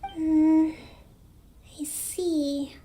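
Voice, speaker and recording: A woman playing a gentle, composed young island leader in a video game, speaking in a measured, polite and slightly weary way. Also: high voice, flat delivery. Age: young adult. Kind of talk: scripted video game dialogue.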